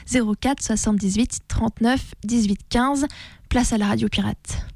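A woman's voice: fairly high pitch, fairly even intonation, normal speed, gravelly, darkish tone, dry recording. A woman co-hosting a live radio show in a casual presenting style, recorded in a studio.

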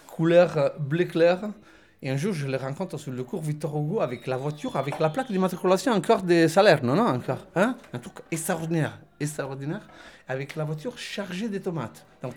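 Animated man with a Roman accent. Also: a little high, a little fast. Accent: Roman Italian. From Rome, Italy. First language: Italian. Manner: animated storytelling, loose grammar, restarts. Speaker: guest. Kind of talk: casual interview talk.

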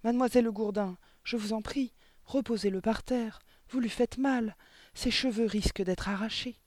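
Un homme qui lit un livre pour enfants en incarnant une institutrice douce et timide, qui parle sur un ton implorant. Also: male voice, high-pitched, fairly even intonation, moderate pace, no room sound, pitched above the performer's own voice, close to an everyday voice. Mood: alarmed pleading. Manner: soft-spoken, pleading. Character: gentle young schoolmistress, timid, pleading, protective. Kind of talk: storybook reading.

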